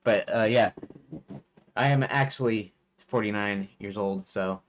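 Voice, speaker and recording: A man's voice: on the low side, unhurried, slight background noise. Rambling man in his forties. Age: 40s. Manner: rambling, hesitant, many fillers and restarts. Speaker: game developer streaming his work. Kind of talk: casual live-stream chat.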